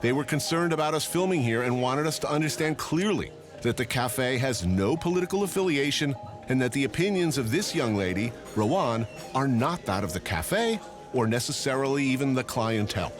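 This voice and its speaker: A man reading scripted documentary narration in a measured, even way. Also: mid-range voice, music in the background.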